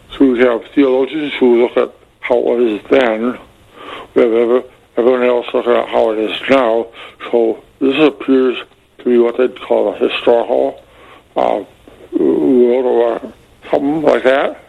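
A man calling a radio show, halting and hesitant as he searches for words, with effortful articulation from a speech impediment. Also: mid-range voice, fairly even intonation, creaky. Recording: slightly dull, band-limited radio call.

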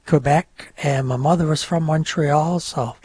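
An older man whose first language is French, telling his life story in a relaxed, rambling interview with many fillers. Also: fairly high pitch, a little slow, slightly rough, no room sound. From North Country of New York. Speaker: guest.

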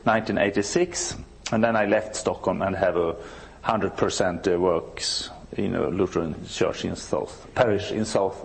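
A Swedish man in his sixties from the north of the country, a church musician being interviewed, speaking halting English and often restarting to search for words. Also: sits low, steady tone, gravelly. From northern Sweden.

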